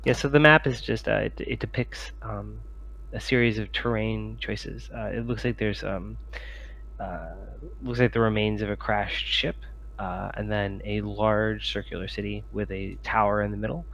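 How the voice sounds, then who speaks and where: A man running a tabletop game as game master, improvising descriptions in a halting way with many pauses. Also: on the low side, fairly even intonation, creaky, a bit bright, a little hiss or hum, low-quality recording.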